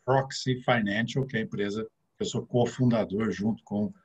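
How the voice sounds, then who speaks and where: A man's voice: fairly low pitch, fairly even intonation, normal speed, breathy edge, highs cut off. A middle-aged man with a São Paulo interior Brazilian accent, a guest financial advisor explaining things step by step, with many filler sounds. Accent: São Paulo interior Brazilian Portuguese. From interior of São Paulo state, Brazil.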